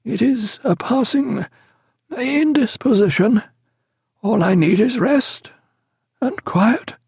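A man voicing an ailing, weary gentleman in an audiobook, politely strained and weak. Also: very high voice, slow, gravelly, husky, no room sound, clean studio sound, pitched above the performer's own voice, exaggerated voice. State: unwell, weak. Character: sick, weary man politely refusing help.

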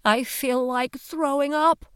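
A woman's voice: high-pitched, fairly even intonation, takes it slowly, a bit bright, no echo, studio quality, voice pushed up. A woman voicing an anxious, reluctant female character in an audiobook, hesitant and uneasy.

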